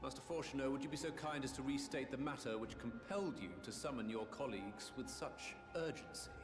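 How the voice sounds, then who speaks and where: A man playing a stately, authoritative presiding official in a game cutscene, formal, measured and courteous. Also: medium pitch, lightly acted. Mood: solemn. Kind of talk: video game cutscene acting. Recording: echoey hall reverb, music underneath.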